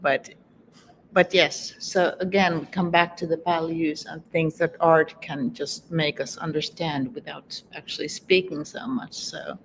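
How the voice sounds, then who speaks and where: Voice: medium pitch, steady tone, measured pace, a little hiss or hum, poor audio. A guest whose first language is Finnish, answering interview questions in English thoughtfully and fairly fluently. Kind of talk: conversational interview.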